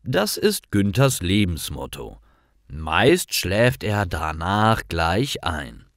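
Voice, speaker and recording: A man's voice: sits low, very animated, slow pace, a bit bright, dry recording, studio quality. A man reading simple stories for language learners in a careful, scripted narrating style.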